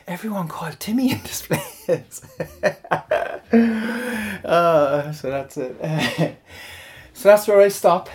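A man's voice: sits high, animated, very slow, long pauses. Breathless, laughing man mid-workout. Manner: rambling, chatty, laughs often between sets. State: out of breath and sweaty. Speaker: online audio creator. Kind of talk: casual chat while working out.

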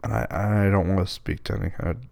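A hoarse man, losing his voice, answering listener questions in a hesitant, casual way. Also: sits low, steady tone, quick pace, a little mellow, dry recording. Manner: hesitant, many ums and restarts.

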